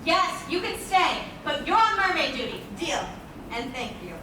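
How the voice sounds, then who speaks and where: A woman's voice: high-pitched, very animated, moderate pace. A woman playing a spirited, teasing young adventurer in a live stage play, theatrical and playful. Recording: distant mic, echoey theatre, background noise.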